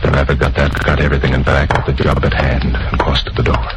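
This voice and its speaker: A man's voice: extremely low pitch, little pitch movement, fast, heavy background noise. An actor playing a tough, wisecracking undercover newsman in a mid-century radio crime drama, clipped and wry. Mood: hard-boiled, wry. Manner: hard-boiled, clipped, wry. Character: tough wisecracking male newsman working undercover, narrating his case. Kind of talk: 1940s-50s radio crime drama acting. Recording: old radio recording with music behind.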